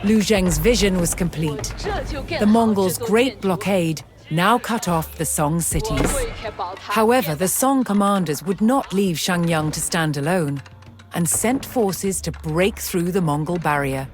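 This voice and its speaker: A woman giving measured, formal scripted narration in the style of a historical documentary for a game. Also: fairly low pitch, expressive. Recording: music behind the voice. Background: background music.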